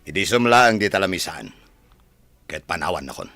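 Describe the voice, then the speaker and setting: A man's voice: low-pitched, lively intonation, brisk, bright, forceful tone, heavily acted. A man playing an arrogant, scheming spoiled rich son in an Ilocano radio drama, haughty and domineering. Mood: irritated, haughty. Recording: dry studio, music underneath.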